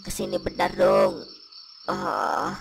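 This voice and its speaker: A man voicing a grumbling male shroud ghost in a comic cartoon, gravelly and moaning in pain with slangy speech. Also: extremely low pitch, very animated, takes it slowly, heavy background noise, full character voice. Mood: pained, groaning. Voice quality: gravelly, creaky. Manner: groaning, complaining, slangy Jakarta-style speech. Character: grumbling pocong shroud ghost, adult male, suffering a migraine.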